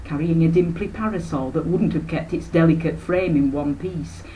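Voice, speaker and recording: An older woman. Her voice sits fairly low, and a webcam microphone picks up noticeable background noise. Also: normal speed, mellow, poor audio. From Yorkshire, England. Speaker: author reading own novel. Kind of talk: expressive scripted narration.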